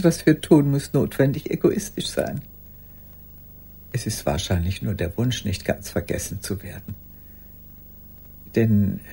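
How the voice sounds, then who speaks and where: An older actress playing a lonely, pleading old woman in a radio play monologue, halting and intense, with a husky voice. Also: deep voice, dark tone, exaggerated voice. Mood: wistful.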